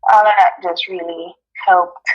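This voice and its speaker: A woman's voice: on the low side, steady tone, measured pace, breathy edge, dull audio. A woman from Jamaica with a Jamaican accent, a guest chatting casually in an interview, talking easily with many ums and you-knows.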